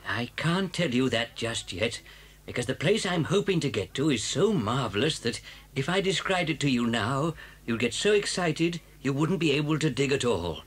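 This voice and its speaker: A clever, gentle father fox in a children's story tape. His husky voice rises and falls freely, cautious but full of hope for his family. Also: mid-range voice, expressive, normal speed. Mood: determined, tender. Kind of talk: children's audio story dramatisation.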